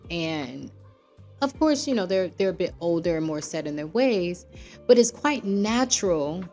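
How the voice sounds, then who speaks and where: A woman's voice: fairly low pitch, sing-song, unhurried, darkish tone, soft background music. An American woman hosting a show, telling personal stories in a casual, conversational and reflective way, often checking in with her listeners. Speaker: podcast host.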